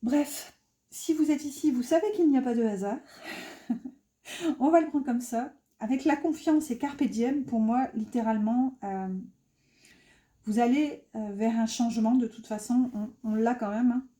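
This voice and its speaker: A woman's voice: a little high, measured pace, warm tone. A middle-aged woman giving a casual tarot reading, chatty and rambling, with many fillers and restarts. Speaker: tarot reader.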